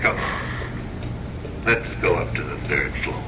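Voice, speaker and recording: An actor playing an insistent, wooing lover returned as a ghostly visitor, pleading and theatrical in old-time radio drama style. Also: male voice, lively intonation, a little slow. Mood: seductive. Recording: dull lo-fi radio recording, loud vehicle rumble.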